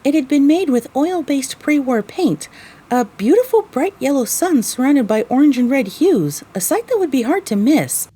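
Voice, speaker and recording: A woman's voice: sits high, big pitch swings, normal speed, warm tone. A woman playing a young mare adventurer, weary and wry, narrating her story in the first person for an audio drama.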